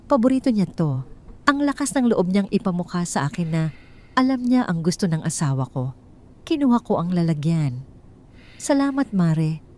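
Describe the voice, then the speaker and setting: Cold, measured woman storyteller, low-pitched. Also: sing-song. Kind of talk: scripted first-person drama narration.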